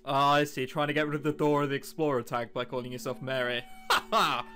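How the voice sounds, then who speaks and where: Guy doing jokey sweary let's play, bright punchy voice, bit of game music behind. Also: medium pitch, fairly even intonation, fast talker. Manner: sarcastic, mildly sweary. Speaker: let's player. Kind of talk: casual joking gameplay commentary, reading visual novel text aloud.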